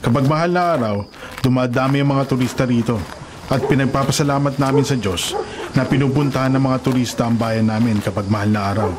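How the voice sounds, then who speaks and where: A man's voice: mid-range voice, quick pace, background noise, close to an everyday voice. A man playing a kindly, devout carpenter and grandfather in a radio drama, speaking earnestly with a Filipino accent. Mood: gentle, earnest.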